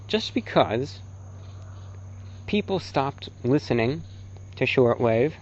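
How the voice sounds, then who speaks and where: Man, mid-pitched voice, emphatic and deliberate, opinionated lecture delivery, slightly dull lo-fi recording. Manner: emphatic, deliberate, rhetorical phrasing with repetition. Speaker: host and presenter, radio broadcaster. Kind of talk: opinionated informal lecture on radio.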